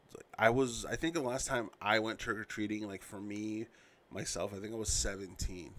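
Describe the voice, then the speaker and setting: A man's voice: lowish voice, steady tone, normal speed, fairly bright tone, slight background noise. A man in his thirties hosting a casual solo podcast, a father reminiscing in a rambling, self-correcting way. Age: 30s.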